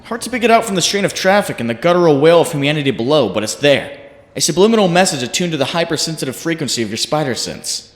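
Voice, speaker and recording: A man acting as a young superhero with a fairly high, widely swinging voice, brooding and mocking himself in anguish. His comic dub monologue breaks into frantic urgency. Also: big pitch swings, quick pace. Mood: agitated, anguished. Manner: brooding second-person monologue, bursts of urgency. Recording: roomy reverberant voice, faint noise.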